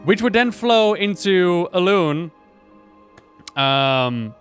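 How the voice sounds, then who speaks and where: Male reaction host, fairly high voice, expressive and chatty, music underneath. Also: very slow. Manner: expressive, exclamatory, thinking aloud. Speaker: video game reaction host. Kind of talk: casual excited reaction commentary.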